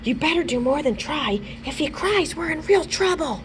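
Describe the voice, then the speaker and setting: A woman's voice: high voice, lively intonation, normal speed, slight background noise, pitched above the performer's own voice. A woman voicing a determined young girl detective in a storybook, urgent and sure of herself. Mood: hushed, urgent.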